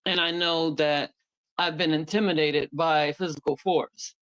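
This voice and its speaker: A woman's voice: low-pitched, fairly even intonation, measured pace, fairly bright tone, low-quality recording. A woman on a discussion panel, speaking candidly and reflectively, with many hesitations.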